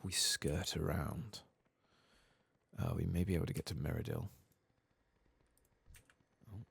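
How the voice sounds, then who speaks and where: A man giving soft-spoken, sometimes whispered ASMR commentary as he plays a video game, murmuring to himself and casually swearing, close to the microphone. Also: low-pitched, a little slow, creaky, husky, no echo. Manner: murmured running self-talk, casually sweary. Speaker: streamer playing a video game.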